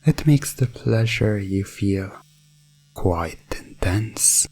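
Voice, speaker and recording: A man playing a calm, businesslike professional dominant in an ASMR hypnosis roleplay, speaking in a soft, breathy voice with measured, formal, detached delivery. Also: fairly low pitch, exaggerated voice. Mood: calm, assured. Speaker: audio roleplay performer.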